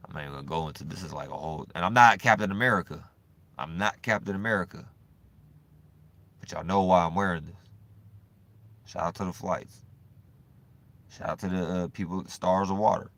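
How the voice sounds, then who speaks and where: A man vlogging in a loose, profane, rambling monologue, swinging between animated bursts and low mumbling. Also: a little fast, creaky, long pauses. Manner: loose, profane and repetitive, full of "you know what I'm saying".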